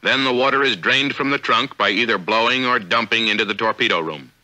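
An American man reading formal, measured, authoritative narration for a 1950s instructional film, on an old film soundtrack. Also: medium pitch, pushed and bright, rough recording. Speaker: narrator of a military training film.

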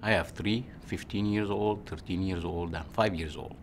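Low-voiced Egyptian man, halting. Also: steady tone, a little hiss or hum. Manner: unhurried, halting, with restarts and "you know". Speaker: physician. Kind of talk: casual interview answers.

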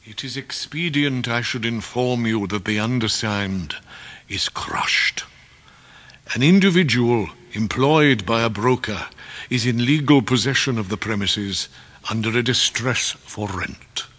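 An actor playing a pompous, theatrical English gentleman in debt, speaking in florid, orotund phrases in a radio drama. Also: mid-range voice, measured pace. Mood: gloomy, grandiose. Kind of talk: 1990s British radio drama acting.

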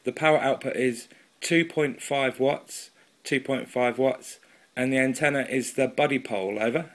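A man's voice: medium pitch, moderate pace, a bit bright, a little hiss or hum. A man with an English accent and a mid-range voice chats informally like a hobbyist making a home video. He tends to ramble and is a little self-deprecating, keeping everything casual. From England. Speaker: amateur radio hobbyist and video reviewer. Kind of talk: casual product review and radio chat. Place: indoors at a wooden table, off camera.

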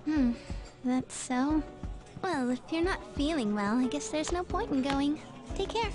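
A coy, willful young woman in a video game, high-pitched and lilting. She teases with very lively swings of pitch over clear background music. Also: measured pace. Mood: pouty, teasing. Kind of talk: video game cutscene voice acting. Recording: music under dialogue.

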